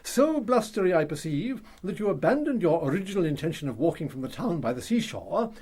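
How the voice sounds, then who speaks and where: An actor in a radio drama playing an older retired celebrated detective, pompous and courtly, with precise English diction. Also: male voice, on the high side, sing-song, brisk, darkish tone, husky. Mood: self-assured, showing off.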